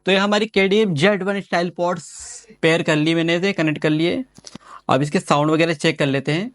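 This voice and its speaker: A man reviews gadgets in a casual, chatty unboxing style. His voice sits a little high and the sound is fairly dry. He talks informally and with enthusiasm, circling back over his phrases. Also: fairly quick, fairly bright tone, no room sound.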